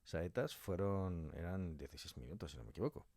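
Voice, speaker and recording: Man, low pitch, dry studio sound, casual interview guest, colloquial creative explaining. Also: lively intonation, a little fast, darkish tone, no room sound. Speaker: audio fiction writer and director, interview guest.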